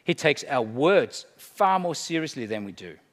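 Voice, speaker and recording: A middle-aged man preaching a sermon, emphatic, swinging between hushed and loud delivery. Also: medium pitch, sing-song, moderate pace. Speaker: pastor. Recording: long hall reverb.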